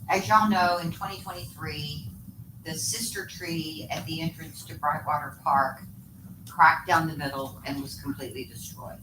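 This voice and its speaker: A board member explains matters to the room in a friendly, explanatory way, restarting sentences often. The voice sits far from the microphone in a reverberant hall. A steady hiss of room noise runs underneath. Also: mid-range voice. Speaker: board member responding at public meeting. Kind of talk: casual meeting discussion. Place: echoey meeting room. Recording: echoey, distant, noisy meeting room audio.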